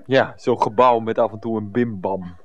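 An actor in a radio comedy playing an anxious, easily flustered husband who talks haltingly and apologetically. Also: male voice, lowish voice, steady tone, highs cut off, slight background noise, close to an everyday voice. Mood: sheepish. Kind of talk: comedic radio drama acting.

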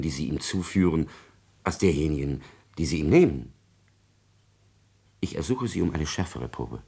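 A cunning, coldly scheming secretary in a classical radio drama. His voice is extremely deep and husky. He speaks deliberately, with servile politeness and sly insinuation. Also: darkish tone, heavily acted.

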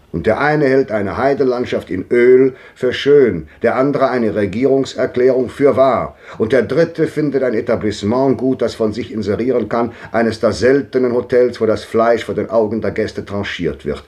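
A man's voice: medium pitch, a little mellow, lightly acted. A man playing a declaiming old thinker. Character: old man musing philosophically. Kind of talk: 1950s radio drama acting.

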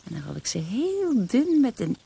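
A woman's voice: on the high side, wildly swooping pitch, normal speed, vocal fry, warm tone, heavily acted. A woman acting in a Dutch radio play as the chatty, wistful wife of an interned soldier, in naturalistic dialogue. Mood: cheerful. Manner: conversational, chatty, with small interjections. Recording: faintly noisy radio play recording.

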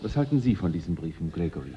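A man's voice: low voice, very animated, fast talker, mellow. A man acting in a German radio drama as a polite, cautious, somewhat fussy nephew with an earnest manner. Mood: polite, concerned. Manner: courteous, earnest. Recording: dull, reverberant, faintly noisy radio recording.

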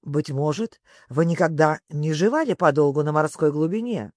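A storyteller voicing a wistful, excitable sea turtle creature who talks in a plaintive, sobbing manner. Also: moderate pace, no room sound, studio quality, lightly acted. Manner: sobbing, plaintive, breaking into squeaks and yells.

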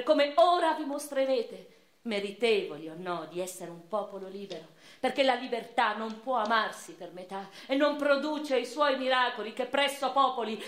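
An actress playing a cultured, impassioned woman revolutionary and newspaper writer in a theatrical monologue, declamatory and literary, with deliberate pauses and emphatic swells. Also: a little high. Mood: rousing. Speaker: stage and radio actress. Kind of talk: dramatic first-person theatrical monologue. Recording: roomy, reverberant theatrical recording.

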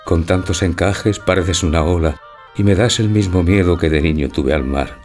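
An actor playing a timid, naive older bridegroom in a stage tragicomedy, hesitant, anxious and full of wonder. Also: male voice, deep voice, moderate pace, a little mellow, faint music behind. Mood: awestruck, timid. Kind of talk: theatrical tragicomedy audio drama.

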